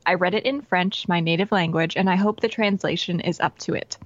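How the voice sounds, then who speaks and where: A woman's voice: on the low side, fairly even intonation, brisk, no room sound, highs cut off. A woman co-hosting a podcast, reading out listener messages in a friendly, easygoing way. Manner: friendly, laughs easily. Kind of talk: casual chat.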